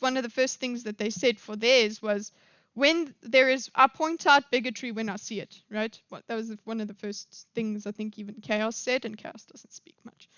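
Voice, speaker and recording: A South African woman talks through her live stream commentary in a loose, rambling way, full of fillers and restarts. Her voice sits fairly high and sounds smooth and clear. The recording is dry and slightly dull, like a lo-fi home mic. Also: a bit bright, no echo. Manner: rambling, many fillers and restarts, quick laughs.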